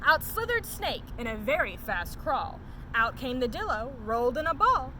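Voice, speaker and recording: A woman reading a children's picture book aloud as a story time host, in an expressive, sing-song storytelling manner. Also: sits high, normal speed, slight background noise, poor audio.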